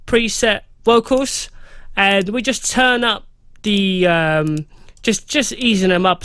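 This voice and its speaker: A man, slightly hoarse, casually talking through a music mixing tutorial and reading out settings with loose fillers. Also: sits high, a bit bright, no room sound. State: slightly hoarse, losing his voice. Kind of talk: casual screen-recorded software tutorial.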